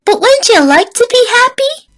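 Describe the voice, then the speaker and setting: A woman voicing a cheery, brisk little storybook girl character with a squeaky voice and an encouraging manner. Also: very animated. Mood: curious. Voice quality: squeaky, very high voice.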